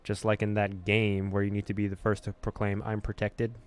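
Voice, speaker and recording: A man reading a horror visual novel aloud as a gaming host, with expressive storytelling and casual delivery. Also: fairly low pitch, fairly quick, clear, resonant tone.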